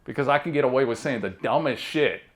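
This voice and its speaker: A man in his thirties from near Baltimore with a Southern-sounding drawl, telling self-deprecating stand-up jokes in a deadpan way. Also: mid-range voice, fast. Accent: Southern-sounding American drawl. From Maryland, near Baltimore. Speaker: stand-up comedian. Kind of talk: stand-up comedy set.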